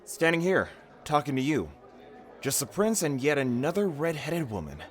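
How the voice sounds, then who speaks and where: A man's voice: a little high, sing-song, moderate pace. A man playing an easygoing, wry guard in an audio drama, talking casually with dry teasing. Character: easygoing, wry male royal decoy guard.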